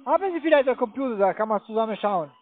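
High-pitched halting man with foreign accent, phone. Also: animated, faint music behind. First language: not German. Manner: halting, fumbling, hesitant. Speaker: telephone investment salesman. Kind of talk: cold sales call. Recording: tinny, band-limited phone line.

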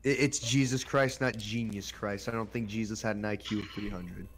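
Low sarcastic man in voice chat. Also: fairly even intonation, normal speed. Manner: sarcastic, swears. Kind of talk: casual voice chat while gaming. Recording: faint game music behind.

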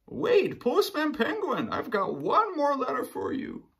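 Man playing a friendly, lively storybook polar bear, pitched up. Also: unhurried, creaky, a little hiss or hum, exaggerated voice. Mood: eager. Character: friendly, wistful, excitable male polar bear. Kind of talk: children's storybook read-aloud.

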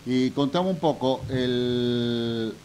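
A man's voice: medium pitch, drawn-out words, a little mellow, a little hiss or hum. An older man with an Argentine accent hosting a radio interview, asking colloquial questions and often restarting them. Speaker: radio host. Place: radio studio. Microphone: studio boom mic with headphones.

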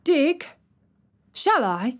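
A woman's voice: medium pitch, expressive, normal speed, a little mellow, lightly acted. A woman voicing a sickly young boy who talks in an eager, hesitant way in a storybook reading. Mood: wondering.

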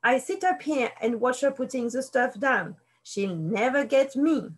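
A woman voicing a greedy, shrieking male rat in a storybook, scolding in a shrill, screeching voice. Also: animated, measured pace, husky, heavily acted. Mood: smug.

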